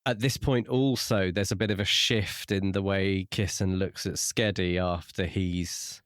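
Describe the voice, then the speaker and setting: A man co-hosting a podcast, explaining a book in a measured, conversational way. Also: low voice, steady tone, fairly bright tone, clear, resonant tone, dry recording, studio quality. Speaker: podcast co-host. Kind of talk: casual book discussion.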